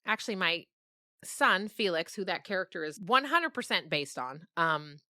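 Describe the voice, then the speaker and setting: A middle-aged woman talking casually in an interview as an author and podcast creator, reflective and animated as she tells her stories. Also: lowish voice, moderate pace, dry recording, clean studio sound.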